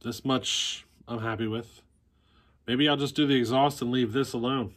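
A man in his forties chatting casually to camera, plain-spoken and halting as he thinks aloud. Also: medium pitch, fairly even intonation, a bit bright. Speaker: vlogger, hobbyist chainsaw mechanic.

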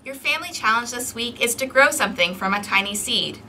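Bright, high-voiced woman hosting children's storytime. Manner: animated, deliberate, prompting children with questions. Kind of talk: interactive picture-book read-aloud for young children. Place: outdoors on an apartment balcony. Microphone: camera microphone a few feet away. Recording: outdoor balcony, distant mic, ambient noise.

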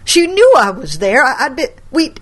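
A woman's voice: high-pitched, big pitch swings, moderate pace, a bit bright, slightly rough, pitched above the performer's own voice, heavily acted. A woman voicing a panicked young man who stammers and pleads through tears. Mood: desperate stammering. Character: panicked, weeping young male grandson.

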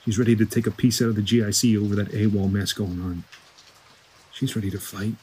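A man's voice: lowish voice, little pitch movement, brisk, dark tone, a little hiss or hum, natural voice. A man playing a wry, streetwise fixer in an audio drama, stammering and cursing as he talks in slang. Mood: wry, confiding. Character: shady male store-running fixer, wheedling, wry, streetwise.

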